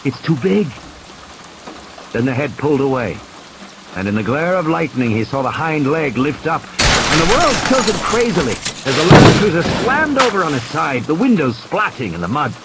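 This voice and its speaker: Animated male thriller narrator over rainy effects. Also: fairly high pitch, moderate pace, very noisy. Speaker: audiobook narrator. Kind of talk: dramatic scripted narration of a thriller. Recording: clear rain and storm effects behind. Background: rain, vehicle and ambience sound effects.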